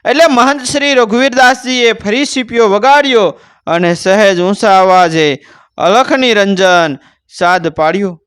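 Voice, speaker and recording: High, clear-voiced Gujarati male storyteller. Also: moderate pace, a bit bright, no echo, clean studio sound. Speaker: devotional storyteller. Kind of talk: narrated moral folk tale, oral storytelling.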